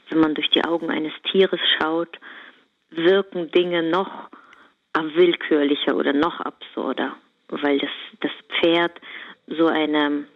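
An actress talking reflectively in an interview. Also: female voice, low voice, highs cut off.

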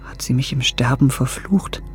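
An actor playing a sensitive, self-absorbed young gentleman in a German radio drama, murmuring and introspective. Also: mid-range voice, moderate pace, soft background music, close to an everyday voice. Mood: brooding, fearful. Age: young adult.

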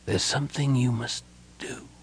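A man voicing a big, gentle old innkeeper, fatherly and frail, speaking softly and haltingly with a breathy, earnest delivery. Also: mid-range voice, voice pushed up. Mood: weak, confused.

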